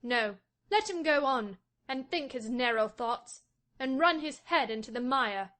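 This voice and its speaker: A man doing a high, hushed, scornful wife. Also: animated, unhurried, exaggerated voice. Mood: bitter. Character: proud defiant young wife, cold and bitter. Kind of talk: audiobook reading of a classic novel.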